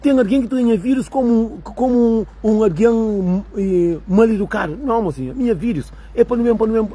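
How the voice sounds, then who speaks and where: A woman with a husky, breathy voice rants to her viewers in a rambling way. She keeps circling back to the same words, talking straight at the camera like a video creator. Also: on the high side, moderate pace, mellow. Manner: rambling and repetitive. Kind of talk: ranting monologue to viewers.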